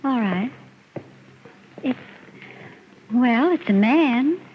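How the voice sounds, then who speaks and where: An actress playing a timid, uneasy artist's model, hesitant and deferential, in 1940s radio drama style. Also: female voice, sits high, animated, drawn-out words, heavily acted. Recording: muffled, noisy old radio recording.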